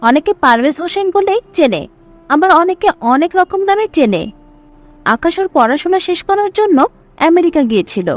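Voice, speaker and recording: A woman's voice: extremely high pitch, big pitch swings, normal speed. A woman narrating a scripted audio story as its storyteller.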